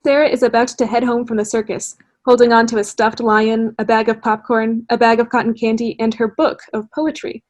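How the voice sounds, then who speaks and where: Higher-voiced woman narrating a play. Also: flat delivery, a little fast, hardly any pauses. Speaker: narrator reading stage directions. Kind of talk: scripted, theatrical narration. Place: indoors on a video call.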